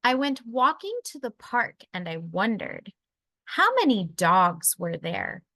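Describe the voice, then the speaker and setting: A woman reading a children's picture book aloud in a deliberate, expressive storytelling manner for young listeners. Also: mid-range voice, no room sound.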